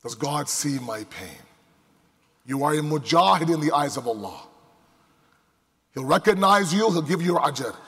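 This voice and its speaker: High-voiced male preacher in a reverberant hall. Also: animated, normal speed, pauses often, a bit bright, breathy edge. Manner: emphatic, with rhetorical questions and repetition. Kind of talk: sermon to a live congregation. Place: large indoor hall before a crowd. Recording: echoey hall with long reverb.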